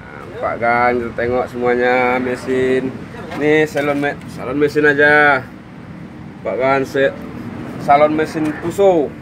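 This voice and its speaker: Chatty man over loud workshop noise. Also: mid-range voice, steady tone, unhurried. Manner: chatty, upbeat, showing off the work. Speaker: car detailing vlogger. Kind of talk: casual on-camera narration. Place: outdoor truck workshop yard. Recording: loud engine and water-jet noise.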